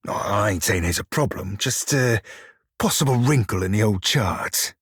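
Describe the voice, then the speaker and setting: Husky rogue for a video game, sardonic sailor drawl, rough edges, real dry close recording. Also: male voice, lowish voice, animated, normal speed, a bit bright, dry recording, heavily acted. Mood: rueful, teasing. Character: roguish blue shark-kin pirate, salty, sardonic, foul-mouthed. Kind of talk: video game character dialogue.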